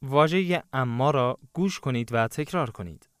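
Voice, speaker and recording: A man narrating a language lesson, giving clear, instructive prompts in a scripted style. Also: medium pitch, lively intonation, racing, a bit bright, no echo, clean studio sound.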